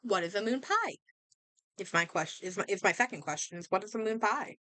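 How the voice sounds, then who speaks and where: A woman streamer chatting casually and thinking aloud in an expressive way as she comments on a game. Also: medium pitch, fairly quick, no room sound.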